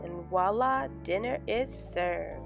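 A woman's voice: on the low side, slow, creaky. A woman in her thirties hosting a casual home cooking vlog, chatty and upbeat, talking straight to the camera. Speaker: cooking vlogger. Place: home kitchen and small room indoors. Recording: music playing underneath.

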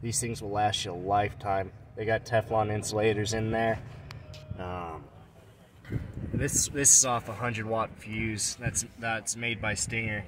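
A man giving a casual technical show-and-tell of his own gear, matter-of-fact and quietly proud. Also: lowish voice, fairly even intonation, a little slow. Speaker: truck owner showing his CB radio setup. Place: parking lot, in and around a pickup truck. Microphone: handheld phone or camera. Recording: noisy handheld outdoor and vehicle recording.

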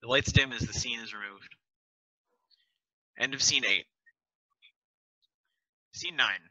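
A man's voice: mid-range voice, takes it slowly, sharp tone, dry recording, poor audio. A man reading stage directions in a matter-of-fact way on a headset during a video-call table read.

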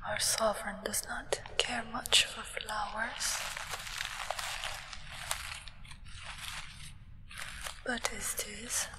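A hushed, whispering female acolyte to a vampire sovereign, acted by a young woman. She speaks slowly in an ASMR roleplay, solemn and coolly aloof, with long reverb trailing each phrase. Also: on the high side, little pitch movement. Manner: slow, measured, soft whisper.

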